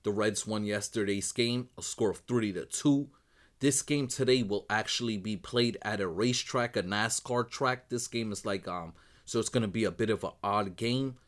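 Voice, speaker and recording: A man who is losing his voice, running quickly through his sports betting picks as host, in a brisk set routine. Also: lowish voice. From Northeast United States. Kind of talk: rapid betting picks rundown.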